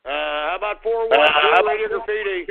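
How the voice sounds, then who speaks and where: Shouting, profane male radio host. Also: sits high, normal speed. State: losing his voice. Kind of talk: ranting call-in radio talk. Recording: phone-like narrow sound.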